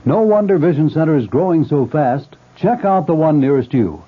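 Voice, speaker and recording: A man reading a 1970s radio commercial as a brisk, upbeat pitchman. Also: animated. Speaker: ad announcer. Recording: tinny lo-fi radio broadcast.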